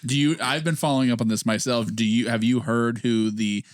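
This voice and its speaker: A man in his twenties co-hosting a podcast, chatting casually with lively restarts. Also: mid-range voice, brisk, clean tone, dry recording, studio quality. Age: 20s. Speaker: podcast co-host. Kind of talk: casual chat.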